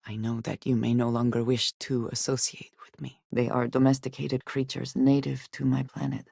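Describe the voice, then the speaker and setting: A woman voicing a guarded, serious female space explorer companion in a video game, with measured, formal diction. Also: extremely low pitch, monotone, gravelly, mellow, breathy edge, dry recording, clean studio sound, heavily acted. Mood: earnest, guarded. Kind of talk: video game character dialogue.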